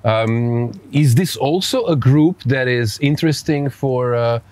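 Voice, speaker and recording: A man with a Serbian accent speaks as a podcast host in a dry, close recording. His voice sits at a middling pitch. He interviews conversationally, in a halting way that circles back over phrases. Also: a little mellow, no echo.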